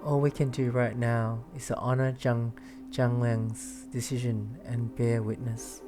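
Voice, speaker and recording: A woman's voice: very deep voice, flat delivery, measured pace. A woman streamer giving casual live reaction commentary, emotionally invested and exclamatory. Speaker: gameplay streamer. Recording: game music behind the voice.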